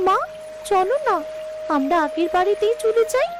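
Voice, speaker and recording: A woman's voice: very high voice, animated, moderate pace, music in the background. A woman voicing an unhappy young daughter in a cartoon melodrama, speaking in a plaintive, complaining way. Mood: tearful complaint. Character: unhappy, aggrieved young daughter confiding in her mother. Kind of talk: melodramatic cartoon story acting.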